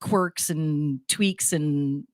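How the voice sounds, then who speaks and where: A woman's voice: sits low, extremely slow, dry recording. A woman from New Jersey with a New Jersey accent, hosting a radio show in casual, rambling chat with guests at a studio table. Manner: chatty, rambling, self-deprecating.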